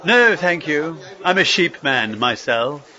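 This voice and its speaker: Man as a lively, long-winded traveler. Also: a little high, takes it slowly, exaggerated voice. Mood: polite, florid. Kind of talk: comedic audio drama. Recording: dull, lo-fi audio.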